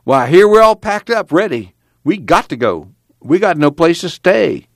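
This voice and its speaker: A man's voice: medium pitch, lively intonation, normal speed, no room sound, close to an everyday voice. A man voicing an anxious farm father in an audiobook, urgent and exasperated, speaking in folksy rural dialect. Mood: exasperated, pleading.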